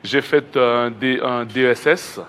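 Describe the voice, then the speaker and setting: A man's voice: mid-range voice, fairly even intonation, slow pace, a bit bright. Congolese man with a Québec-tinged accent. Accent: Québécois-tinged French. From Kinshasa, Congo. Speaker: education researcher, guest. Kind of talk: interview answers. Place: TV studio.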